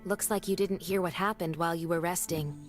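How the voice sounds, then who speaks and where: A woman's voice: on the low side, steady tone, a little fast, music in the background, natural voice. A woman voicing a determined rookie rescuer. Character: determined young female rescuer, the rookie hero.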